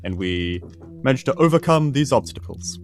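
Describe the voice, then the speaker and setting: A man's voice: mid-range voice, sing-song, normal speed, soft background music. A man with a New Zealand accent playing a tabletop role-playing game, bantering casually in character.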